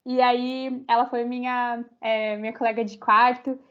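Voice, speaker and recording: A woman's voice: fairly high pitch, little pitch movement, measured pace, fairly bright tone. A young Brazilian woman with a Brazilian Portuguese accent, a university student chatting as a podcast guest, full of fillers and restarts. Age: young adult. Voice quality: many fillers, rambling restarts. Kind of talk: casual interview chat. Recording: slightly muffled audio.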